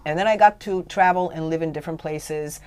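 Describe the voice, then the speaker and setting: Radio guest: woman, middle-aged, from Romania and raised in Canada, very low pitch, earnest and emphatic. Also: a little fast, darkish tone, smooth. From Romania, raised mostly in Canada. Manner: earnest, emphatic, occasionally wry. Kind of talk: telling her life story in a radio interview.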